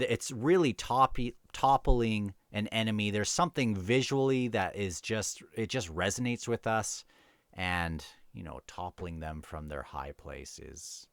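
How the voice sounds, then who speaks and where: Casting: male book vlogger, low pitch, dry close sound, earnest and halting delivery. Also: dry recording. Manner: earnest, halting, repeats words while thinking aloud. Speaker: book reviewer and reader. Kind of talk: casual book discussion mixed with read-aloud novel passages.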